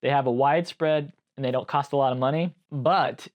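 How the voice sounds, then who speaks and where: A man's voice: medium pitch, steady tone, moderate pace. A man giving a casual, conversational explainer on gear as an informal video host. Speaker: host, live sound engineer.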